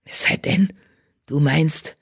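Growling old she-cat acted by a storybook narrator, bitter, menacing, husky, grim storybook villainy. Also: slow, full character voice. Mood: accusing. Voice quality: low growl, hissing. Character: fierce old female cat, bitter former healer, accusing.

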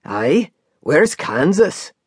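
A kindly older Scottish cabbie, as the storyteller puts him on, lower and slower than the narration. He drawls in a friendly, chatty way, and the sound is close and dry. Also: sing-song, slightly rough, no room sound, exaggerated voice. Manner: slow, warm, folksy.

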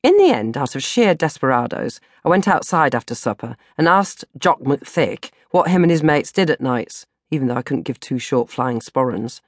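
A woman's voice: a bass voice, animated, normal speed, hardly any pauses, dry recording, studio quality. A woman narrating a comic first-person diary as an audiobook, with a dramatic, exasperated delivery. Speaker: audiobook narrator reading her own novel.

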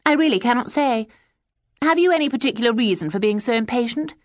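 A woman's voice: on the high side, animated, fast, no echo, studio quality, voice pushed up, close to an everyday voice. A woman voicing a stately, severe woman who runs an employment agency, speaking haughtily and crushingly. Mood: haughty.

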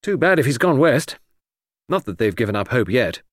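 A man plays an earnest gentleman sidekick in a mystery audiobook. His speech is hearty, eager and old-fashioned, leaping widely in pitch, captured very dry. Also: very animated, normal speed, no room sound. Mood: hearty, admiring. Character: earnest, excitable, patriotic English gentleman friend of detective.

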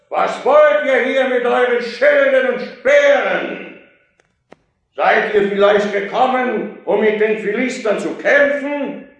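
Boastful giant warrior, drawn-out and echoing. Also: male voice, extremely high pitch, vocal fry, full character voice. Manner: slow, taunting bellowing. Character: boastful giant enemy warrior taunting an army. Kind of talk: German biblical radio play acting. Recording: heavy echo, muffled sound.

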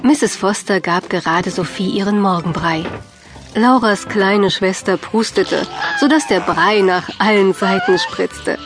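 A woman narrating a scripted children's audiobook in a measured, clearly phrased storytelling manner. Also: mid-range voice, lively intonation, music in the background.

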